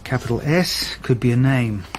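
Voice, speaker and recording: A man's voice: medium pitch, expressive, moderate pace, a little hiss or hum, close to an everyday voice. A man acting in a radio drama as a senior police detective, cautious and businesslike, asking terse questions. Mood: focused.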